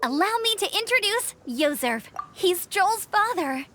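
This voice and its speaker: Peppy friendly young scout gal in a game, real high n animated, bit of music. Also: female voice, a little slow, exaggerated voice. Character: perky, friendly, energetic young female scout. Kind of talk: video game voice acting. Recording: faint background music.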